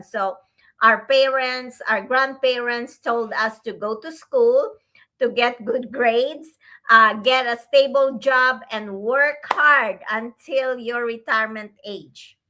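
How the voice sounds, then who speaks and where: A woman from the Philippines, a grandmother, co-hosting a casual online talk show in a slow, earnest way with many fillers. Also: a little high, bright, forceful tone, poor audio. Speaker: co-host.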